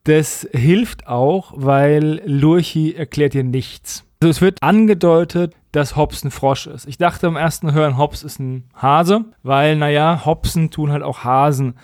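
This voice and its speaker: Male podcast co-host, slightly higher-pitched, dry close recording, casual wry review chat. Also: unhurried, hardly any pauses, a little mellow, no room sound.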